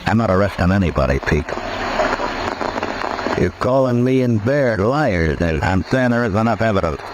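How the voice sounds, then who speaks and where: An American man playing a firm, level-headed marshal in an old-time radio western, speaking in a measured, authoritative, laconic way. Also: on the low side, lively intonation, close to an everyday voice. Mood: stern. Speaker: actor playing a lawman. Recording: noisy vintage radio recording.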